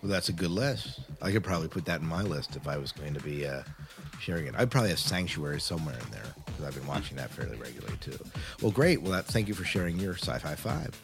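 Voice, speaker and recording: A very low-pitched man hosts a casual podcast. He talks informally and wordily, explaining as he goes. Music plays clearly behind his voice. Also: animated, fast talker. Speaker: podcast host. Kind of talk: casual chatty podcast hosting. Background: loud music bed.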